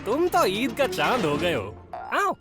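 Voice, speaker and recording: A woman's voice: medium pitch, wildly swooping pitch, a little slow. A woman voicing a friendly, sociable crane machine in a children's cartoon, playfully chiding. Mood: friendly teasing. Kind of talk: animated children's cartoon dubbing. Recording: music behind.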